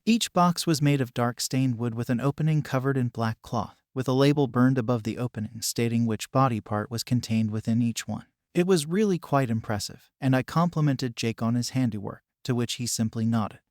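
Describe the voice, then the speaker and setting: Calm man narrating a scary story. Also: mid-range voice, moderate pace, hardly any pauses, dry recording, clean studio sound. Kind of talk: scripted narration.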